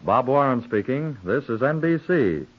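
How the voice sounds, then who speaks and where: A man's voice: mid-range voice, lively intonation, moderate pace, loud music behind the voice. A man announcing in a formal, scripted 1940s American network radio style. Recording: dull old 1940s radio broadcast.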